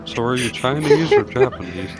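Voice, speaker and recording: A man's voice: medium pitch, expressive, moderate pace, over music. A man co-commentating a game in a casual, joking style.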